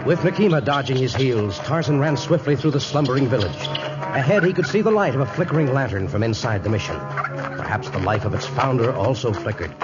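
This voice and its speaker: A man's voice: medium pitch, animated, fairly quick, over loud music, natural voice. A man narrating a jungle adventure serial in dramatic 1950s radio style. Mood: dramatic, suspenseful. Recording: muffled old radio recording.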